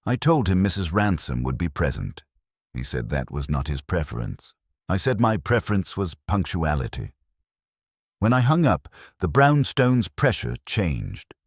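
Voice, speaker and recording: A male audiobook narrator with an extremely deep, husky voice tells a hard-boiled mystery. His delivery is dry and wry, with lively rises and falls in pitch. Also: unhurried, dry recording. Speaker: first-person detective story narrator.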